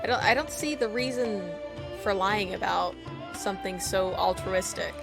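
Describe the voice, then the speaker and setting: A woman's voice: mid-range voice, moderate pace, gravelly, over music. A woman game streamer giving casual, informal commentary with wry interjections during a playthrough.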